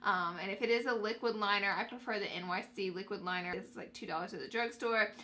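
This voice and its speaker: A woman's voice: mid-range voice, fast, sharp tone. A young woman with a stuffy nose from allergies, chatting upbeat through a makeup tutorial for her viewers. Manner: upbeat, addresses viewers as guys. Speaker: beauty vlogger.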